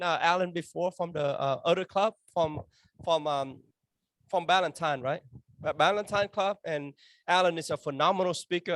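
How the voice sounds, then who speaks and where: A man's voice: a little high, a bit bright, dry recording. A man acting as a club officer who introduces guests in a formal manner, with frequent filler sounds. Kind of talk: meeting introductions.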